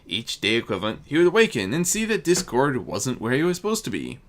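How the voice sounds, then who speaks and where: An animated narrator reads a story aloud at a middling pitch. The intonation swings very widely, and the delivery stumbles now and then over the script. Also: fast. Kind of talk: scripted narration, fan fiction reading.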